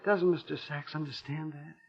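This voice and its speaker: A timid, browbeaten American husband in a vintage radio drama. His voice is breathy and rough, plaintive and prone to flustered stammering. The old recording is muffled and dull. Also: on the high side, steady tone, moderate pace. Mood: flustered, pleading. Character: timid, browbeaten little husband being blackmailed. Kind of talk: 1940s-50s radio drama acting.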